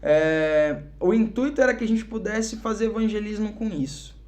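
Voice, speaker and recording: A man's voice: high voice, normal speed. Chatty young man with a São Paulo accent. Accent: São Paulo Portuguese. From Curitiba, Brazil. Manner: relaxed, chatty, fillers like "cara" and "né". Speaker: podcast guest, worship musician. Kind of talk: casual testimony interview.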